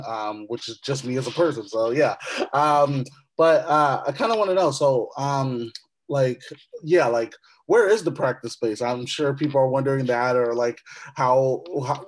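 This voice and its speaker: A man's voice: medium pitch, slight background noise. A young man hosting a casual podcast interview, effusive and full of ums and likes. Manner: effusive, heavy with fillers. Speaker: podcast host.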